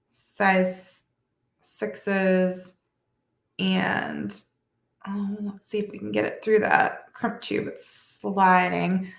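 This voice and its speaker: A middle-aged woman walks viewers through a beading project at a slow, easy pace, her voice a little nasal from congestion. There's a gravelly fry in her tone and an echo from the room around her. She is chatty, correcting herself as she goes. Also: medium pitch, fairly bright tone. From Michigan. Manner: chatty, with small laughs and self-corrections. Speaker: beading instructor. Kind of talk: live craft tutorial.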